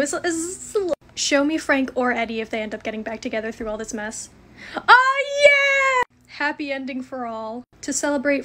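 High-voiced, playful young woman. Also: fairly bright tone. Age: young adult. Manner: playful, dramatic exclamations. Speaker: content creator. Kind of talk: casual reacting to a character filter game. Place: home kitchen.

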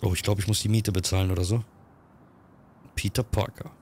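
A man's voice: lowish voice, fairly even intonation, a little hiss or hum. Chatty gamer man with a cold. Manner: quick, chatty, reads on-screen text aloud. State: has a cold. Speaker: let's-play gamer. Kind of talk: casual gameplay commentary.

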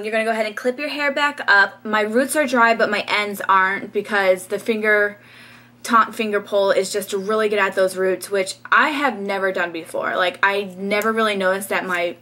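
A woman's voice: medium pitch, bright, forceful tone. A young woman, casually talking through a hair tutorial with frequent ums while styling her hair, recorded on a camera mic in a small bedroom. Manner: chatty, frequent ums, light laughs. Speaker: beauty vlogger.

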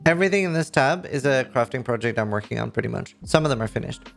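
A man's voice: medium pitch, lively intonation, fast, gravelly, soft background music. A man in his thirties with a Norwegian accent, a streamer chatting casually and enthusiastically to camera about a video game he loves. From Norway. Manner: enthusiastic, rambling, lots of fillers. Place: indoor streaming room.

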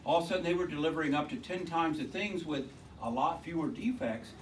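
A loud-voiced man gives a loose, rambling technical talk, often doubling back on his own words. His voice sits a little high for a man. The mic catches him from some distance, with faint room noise behind him. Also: quick pace. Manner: rambling, with many restarts and repeated words. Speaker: conference speaker. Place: lecture room at a tech meetup. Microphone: handheld mic, often held away from the mouth.

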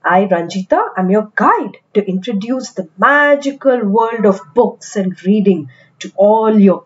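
A woman with an Indian accent reading aloud to young children as a storytelling host, with deliberate, expressive phrasing. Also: mid-range voice, dull audio. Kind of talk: animated picture-book read-aloud for kids.

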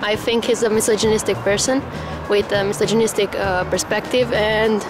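A woman's voice: mid-range voice, fairly even intonation, normal speed, over music, poor audio. A young woman giving a casual opinion in a street interview on an open square. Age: young adult. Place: open city square, outdoors. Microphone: interviewer's handheld microphone.

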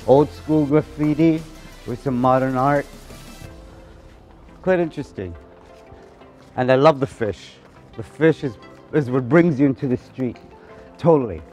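A man's voice: mid-range voice, unhurried, long pauses, a little mellow, faint music behind. A man, chatting playfully while walking through city streets for a casual interview, recorded outdoors on a camera mic. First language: Spanish. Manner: playful, chatty, laughs often.

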